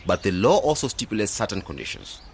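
A man's voice: fairly low pitch, huge pitch swings, quick pace, gravelly, a little hiss or hum, exaggerated voice. A man acting in a radio drama as an earnest, idealistic, determined young graduate planning a village project. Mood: earnest, explaining.

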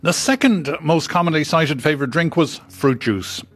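A man's voice: fairly high pitch, lively intonation, normal speed, a bit bright. A man co-presenting a radio show, reading out listener mail with some light banter.

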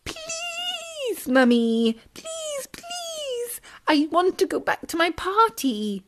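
A woman voicing a tiny excited tadpole boy who squeals with joy and begs through sobs. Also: high-pitched, takes it slowly, background noise, voice pushed up, heavily acted. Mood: sobbing pleas. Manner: squealing, pleading, sobbing.

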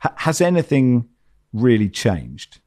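A man's voice: mid-range voice, wildly swooping pitch, slow, darkish tone. A man hosting a football podcast, introducing the panel in an upbeat, chatty way. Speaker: podcast host.

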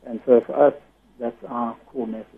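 A man with a loud voice, a guest giving earnest explanations in a radio interview over the phone. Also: medium pitch, level tone, normal speed, slightly rough. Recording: tinny phone line.

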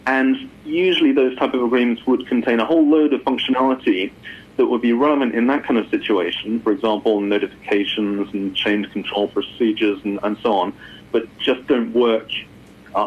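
Guy explaining stuff in an interview, kinda husky, sounds like he's on the phone, repeats words. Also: medium pitch, steady tone, a little fast. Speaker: guest expert, lawyer. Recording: muffled phone-like line.

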